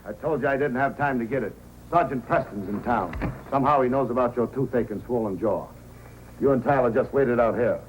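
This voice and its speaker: An actor playing a hard, scheming gang boss with a curt, domineering manner in an old-time radio drama. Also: male voice, mid-range voice, brisk, close to an everyday voice. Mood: curt, impatient. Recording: faintly noisy old radio recording.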